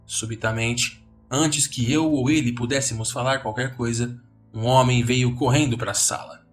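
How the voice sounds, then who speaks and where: A man's voice: mid-range voice, fairly even intonation, moderate pace. A man with a Brazilian accent giving a dramatic scripted narration of a horror story. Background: music.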